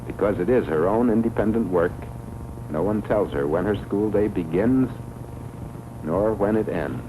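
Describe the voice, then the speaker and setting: Low-voiced 1950s film narrator, a man. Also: low-quality recording. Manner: measured, formal delivery. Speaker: documentary narrator. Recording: vintage 1950s film soundtrack with music.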